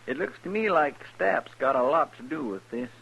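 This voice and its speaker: An American actor playing an eager, loyal, deferential deputy with a folksy manner in a 1950s radio western. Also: male voice, mid-range voice, expressive, moderate pace, gravelly, a bit bright, slightly rough, highs cut off, heavily acted. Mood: worried.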